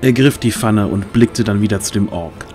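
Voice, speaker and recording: A man's voice: on the low side, moderate pace, a little mellow. A man narrating a fantasy audio drama in a dramatic, scripted storytelling style. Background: music.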